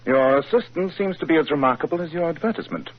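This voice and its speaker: An actor playing a sharp, superior male detective, in 1940s radio acting with a crisp, brisk delivery. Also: fairly high pitch, expressive, slight background noise, lightly acted. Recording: muffled old radio broadcast.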